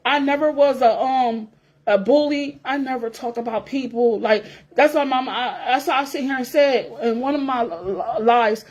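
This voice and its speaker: Woman, 40s, high-pitched, blunt, profane, repetitive, casual vlog rant. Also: normal speed, darkish tone, highs cut off. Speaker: vlogger.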